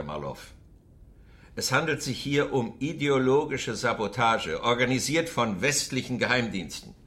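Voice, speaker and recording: A man's voice: mid-range voice, fairly bright tone. An actor in a German radio drama playing a stern, contemptuous Soviet secret police chairman with a pompous manner. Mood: stern, indignant.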